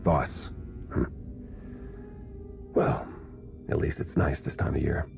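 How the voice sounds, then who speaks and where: Very gravelly, deadpan deep man. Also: takes it slowly, over music, exaggerated voice. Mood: wry, unbothered. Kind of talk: video game cutscene acting.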